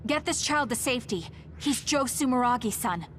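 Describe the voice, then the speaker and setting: Composed, determined young heroine. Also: female voice, a little high, expressive, normal speed, a bit bright, slight background noise. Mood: urgent resolve. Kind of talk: English game dub acting.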